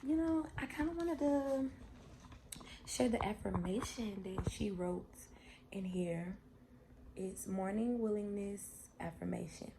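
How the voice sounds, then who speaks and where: A woman's voice: medium pitch, takes it slowly, gravelly, background noise. A woman, chatting casually and heartfelt in a vlog, rambling and repeating herself. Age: 20s. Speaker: vlogger.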